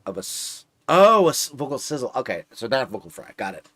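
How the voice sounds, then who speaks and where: A man's voice: mid-range voice, very animated, brisk, fairly bright tone, no echo. A young man with a slightly nasal voice streaming live, chatting casually and rambling with lots of filler words and restarts. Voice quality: a bit nasal.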